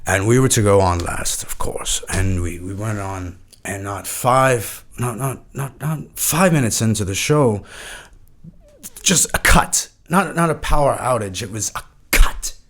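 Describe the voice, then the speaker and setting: An actor playing a washed-up, haunted rock frontman in his fifties, rambling and swearing haltingly in a mock podcast interview. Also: fairly low pitch, fairly bright tone. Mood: wistful, rambling. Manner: halting, repetitive, rambling, profane.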